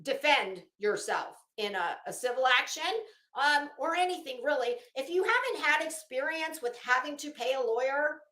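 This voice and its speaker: A woman giving a casual, blunt, profane commentary to viewers, speaking emphatically and haltingly with drawn-out words. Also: high-pitched, expressive, sharp tone. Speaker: online commentary host. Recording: roomy echo.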